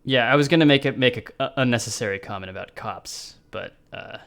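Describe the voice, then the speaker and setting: A man with a middle-pitched voice talks through ideas on a game development stream, often restarting sentences and laughing as he goes. He speaks casually over a video call from a room at home. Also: fairly quick, creaky, a bit bright. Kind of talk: casual chat during a dev stream.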